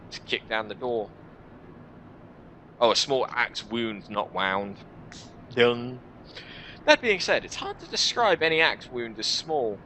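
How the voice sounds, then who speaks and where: Bright-voiced man narrating a fantasy game. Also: mid-range voice, slight background noise, rough recording. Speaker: video game let's player reading a visual novel aloud. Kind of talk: dramatic first-person narration of game text with casual asides.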